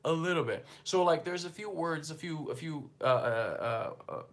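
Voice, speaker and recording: A man's voice: on the high side, expressive, moderate pace, fairly bright tone. Casual man with a slight Brazilian accent. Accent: slight Brazilian Portuguese. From northeastern Brazil. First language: Portuguese. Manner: rambling, filler-heavy, loose and conversational. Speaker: livestream host. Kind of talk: casual livestream chat, reading viewer comments.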